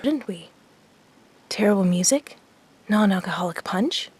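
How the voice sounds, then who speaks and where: A woman playing a haughty, scheming rich teenage girl in a fan audio drama, speaking in a breathy, arch near-whisper. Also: mid-range voice, lively intonation, unhurried, darkish tone, no echo. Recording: music bed behind voice.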